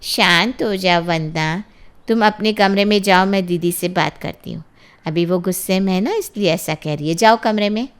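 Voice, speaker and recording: A woman voicing a calm aunt in an audio drama, speaking gently and persuasively to settle a family quarrel. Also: lowish voice, moderate pace, clean tone, natural voice. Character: calm, reasonable aunt soothing an angry family.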